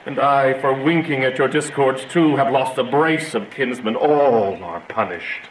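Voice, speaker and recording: An actor playing a stern, commanding prince in a Shakespearean audio drama, declaiming formally and with authority. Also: male voice, mid-range voice, a little slow, lightly acted. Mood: stern rebuke. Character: stern commanding prince judging feuding families. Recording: echoey room, audible background hiss.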